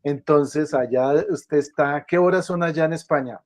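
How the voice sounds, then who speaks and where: Effusive male interviewer, halting speech. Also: a little high. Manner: effusive, halting with repeated words. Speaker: interview host. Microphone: video call.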